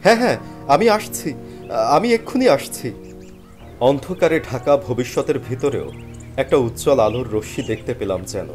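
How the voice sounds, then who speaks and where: A man playing a weary, penniless job seeker who tells his story in expressive, plaintive dramatic narration. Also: mid-range voice, normal speed, pauses often, natural voice. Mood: eager, excited. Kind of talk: first-person dramatic story narration and character dialogue. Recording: music behind the voice. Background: background music.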